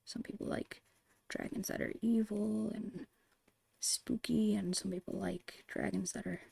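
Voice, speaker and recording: A woman whispering a casual, gentle ASMR drawing tutorial in a breathy, unhurried voice. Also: medium pitch, fairly even intonation, no echo. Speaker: ASMR host and digital artist.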